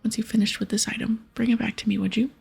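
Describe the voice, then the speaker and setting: Woman whispering as a breathy, stern aged wizard. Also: fairly high pitch, level tone, fast, darkish tone, faint music behind, full character voice. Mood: grave, measured. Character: busy, stern aged wizard of a magic guild. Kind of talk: whispered ASMR reading of game dialogue.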